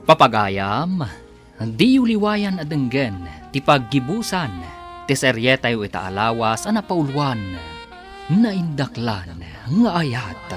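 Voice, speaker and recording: A man's voice: medium pitch, big pitch swings, slow pace, fairly bright tone, faint music behind. A man with an Ilocano accent announcing a radio drama in a dramatic, scripted style.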